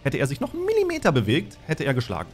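A man's voice: fairly high pitch, wildly swooping pitch, very fast. A man narrating and refereeing a tabletop game as game master, explaining things as he goes. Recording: faint music behind the voice. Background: faint music.

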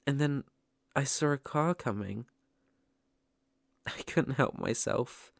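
A young British man voicing a shy, lonely but eager ghost in an ASMR roleplay, stammering, gentle and apologetic. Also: medium pitch, slow, creaky, no echo, studio quality. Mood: subdued, hesitant.

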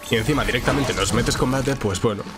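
A man's voice: medium pitch, quick pace. A man with a nasal, congested voice and a sore throat from a cold, giving informal, chatty Let's Play commentary. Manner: informal, chatty, thinking aloud. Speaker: gaming channel host. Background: game music.

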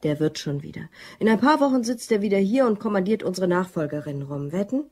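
A cool woman plotting as a partner in crime in a radio drama. Her voice is husky and slightly rough, and she speaks in a dry, self-assured, bossy way. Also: mid-range voice, animated, fairly quick, mellow. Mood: confident, scheming. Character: cool, scheming female office worker and partner in crime.

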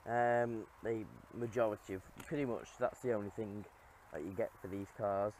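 A man's voice: lowish voice, steady tone, moderate pace, darkish tone, slight background noise, poor audio. A man casually talking through his car on camera, rambling with lots of ums. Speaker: car vlogger. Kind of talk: casual walkaround commentary. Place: outdoors on a quiet rural lane. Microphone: head-mounted action camera.

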